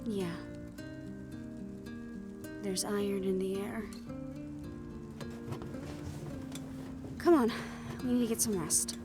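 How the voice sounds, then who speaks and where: A woman's voice: mid-range voice, steady tone, unhurried, over music, natural voice. A woman playing a young, wry and affectionate siren-banshee hybrid in an intimate roleplay audio drama, talking in a teasing, conversational way. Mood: tender, sincere. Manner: soft-hearted, teasing, conversational storytelling.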